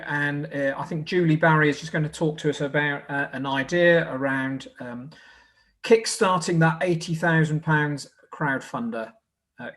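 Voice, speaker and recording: An English man hosting informally as a scout group leader, with a hesitant, halting way of addressing people. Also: fairly high pitch, a bit bright. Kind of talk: informal closing address at online presentation evening.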